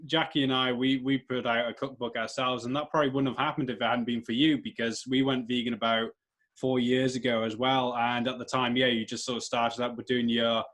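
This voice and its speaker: A man from Wales co-hosts a casual interview. His voice sits at an ordinary pitch, and he talks in a loose, friendly way full of ums and small laughs. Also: little pitch movement, fairly quick, a bit bright. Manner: friendly, rambling, many fillers and laughs. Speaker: podcast co-host.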